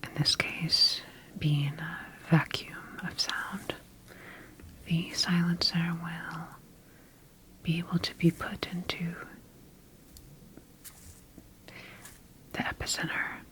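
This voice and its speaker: A woman playing a clinical sci-fi scientist in a whispered ASMR roleplay, dictating observations in a measured, breathy voice. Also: on the low side, little pitch movement, sharp tone, rough recording. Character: clinical female sci-fi scientist studying her engineered creature.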